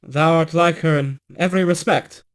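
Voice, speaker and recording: A man performs a young king's son from a storybook, stern and commanding. The voice sits fairly high. The phrasing is formal, archaic and insistent. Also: fairly even intonation, moderate pace, natural voice. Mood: wondering.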